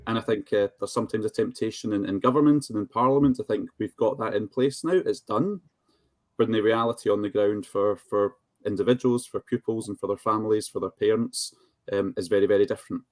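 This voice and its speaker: A man with a fairly low voice speaks on a panel in a measured, articulate way. He pauses to find his words, and the sound is fairly dry. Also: darkish tone, dry recording. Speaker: charity representative on a panel. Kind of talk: panel discussion.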